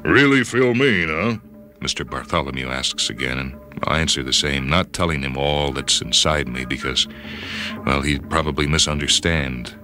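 A man narrates radio fiction in the first person. His voice is very low yet bright and forceful, brooding, with dramatic pauses, over a light bed of music. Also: fairly even intonation. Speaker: story reader. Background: music and sound cues.

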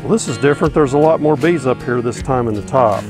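A man talks casually and folksily as he works his beehives, thinking aloud between fillers. His voice sits at an ordinary middle pitch. Clear music and buzzing bees fill the background of this outdoor recording. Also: fast talker, rough recording. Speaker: beekeeper vlogger. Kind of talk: casual narration while working. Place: outdoors in a wooded bee yard.

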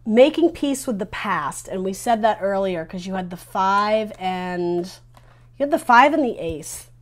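A woman's voice: mid-range voice, animated, a little slow. A woman in her thirties giving a casual tarot reading, talking informally and reassuringly as she thinks aloud. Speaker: tarot reader hosting a video reading. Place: small decorated room indoors. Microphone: lavalier clipped to T-shirt.

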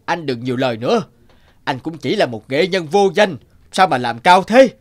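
A man's voice: fairly high pitch, animated, moderate pace, natural voice. Man acting a blustering, stingy rich businessman. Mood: haughty. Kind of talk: animated moral tale dubbing.

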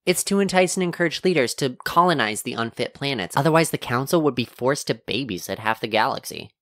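A woman performs a courteous artificial intelligence in a space audio drama. The voice sits low and is recorded very dry. Phrasing is formal and measured, precise yet slightly unsure of itself. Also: expressive, a bit bright, no room sound, exaggerated voice. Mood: earnest, explaining. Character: polite, precise robot AI helper, a little unsure. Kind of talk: science fiction audio drama acting.

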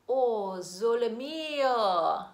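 A middle-aged woman with a Korean accent tells a song's story slowly and lyrically, asking her listener questions as she presents it. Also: fairly high pitch, expressive, slight background noise. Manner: lilting, questions the listener directly. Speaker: classical singer presenting a song. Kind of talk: slow storytelling to the viewer. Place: indoor studio in front of green screen. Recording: echoey room with faint music.

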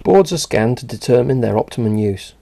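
A man reading a scripted narration for a company video in an even, measured way. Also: medium pitch, vocal fry.

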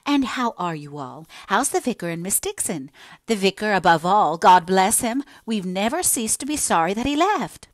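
A woman's voice: medium pitch, normal speed, no echo, clean studio sound, natural voice. A woman voicing a bustling, motherly older country landlady who exclaims and fusses, read for an audiobook. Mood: bustling fond. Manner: excited, exclaiming, fussing. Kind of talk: audiobook character reading.

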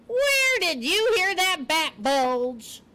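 A grouchy male porcupine from a children's storybook, acted out by a woman reading aloud. He is brash and blustering, with a high, sharp, bellowing delivery. His words come slowly and with heavy emphasis. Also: big pitch swings, a little hiss or hum, heavily acted. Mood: brash, threatening.

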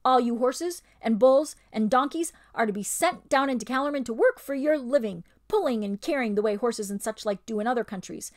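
A woman's voice: fairly high pitch, brisk, hardly any pauses, a little mellow, lightly acted. A woman doing a snarling bully of an ape. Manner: snarling, domineering, sly. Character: scheming bullying male ape.

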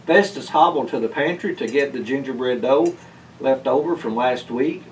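A man in his thirties reading a children's storybook aloud in a measured, expressive way. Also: medium pitch, a little hiss or hum. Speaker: story reader. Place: indoors, library corner with bookshelves. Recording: picked up from a distance.

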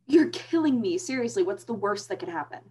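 A woman's voice: medium pitch, animated, a little fast, a little mellow, a little hiss or hum, close to an everyday voice. A young woman with an American accent playing a pushy, teasing teenage best friend in a play reading, blunt and sardonic. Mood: exasperated. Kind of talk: virtual staged play reading. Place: small room, indoors. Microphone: computer video call.

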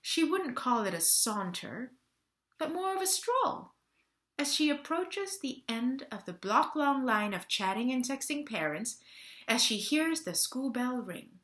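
A woman in her thirties narrates a stage play with theatrical emphasis. Her voice is fairly high and forceful. It is a homemade recording with audible room echo. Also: big pitch swings, a little slow. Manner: dramatic, emphatic storytelling. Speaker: actor giving a staged reading. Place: small bare-walled room at home. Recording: roomy home webcam recording.

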